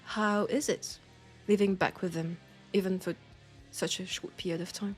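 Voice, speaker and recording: A woman with a French accent acting a nostalgic, playful old school friend in an intimate, casual audio roleplay, with an unhurried, teasing manner. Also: lowish voice, fairly even intonation, soft background music, close to an everyday voice. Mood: curious, friendly. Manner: unhurried, teasing, breaking into soft laughs.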